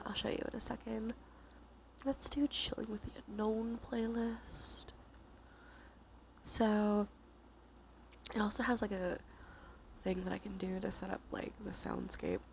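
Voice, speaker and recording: A woman streams cozy ASMR in a soft voice with audible vocal fry, often slipping into whispers. She sounds congested and chats in a gentle, soothing way. A faint layer of ambient noise sits behind her. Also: mid-range voice. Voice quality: breathy, often dropping into whispers. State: stuffy nose, congested. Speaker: livestreamer hosting an ASMR stream. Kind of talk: cozy ASMR, casual chat while gaming.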